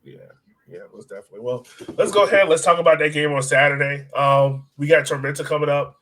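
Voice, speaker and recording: A man with a fairly high-pitched voice hosts a sports podcast. He talks casually and loosely, feeling his way through his thoughts as he goes. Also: quick pace, fairly bright tone. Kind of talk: casual soccer discussion.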